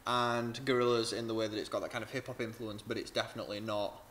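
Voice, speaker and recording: A man's voice: fairly low pitch, flat delivery, fast, fairly bright tone, a little hiss or hum. Rambling young man reviewing tracks. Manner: rambling, filler-heavy, frank. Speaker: music reviewer, host. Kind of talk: casual review chat.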